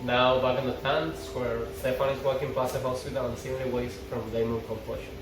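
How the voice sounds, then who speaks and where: A man's voice: medium pitch, little pitch movement, fairly quick. A man reading stage directions aloud as narrator at an informal script table read. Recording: echoey, distant mic, clear background noise.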